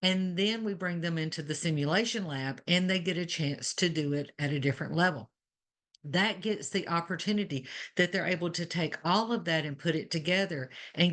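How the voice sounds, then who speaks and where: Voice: medium pitch, fairly bright tone. A nurse educator with a Southern Alabama accent, speaking earnestly and at length on a video-call webinar panel, with frequent "you know" asides.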